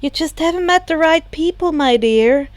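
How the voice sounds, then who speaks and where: Woman playing a courtly, reassuring gentleman villain. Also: high voice, moderate pace, dry recording, voice pushed up, lightly acted.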